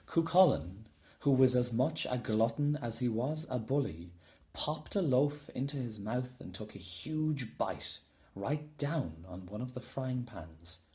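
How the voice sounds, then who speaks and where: A man reading a children's storybook aloud in an expressive narrating style. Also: medium pitch, a little slow. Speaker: storybook reader.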